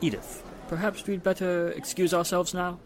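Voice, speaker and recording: A man's voice: sits high, little pitch movement, normal speed. A man playing a polite, tactful, formal society husband in a 1940s-style radio drama. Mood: mild, tactful.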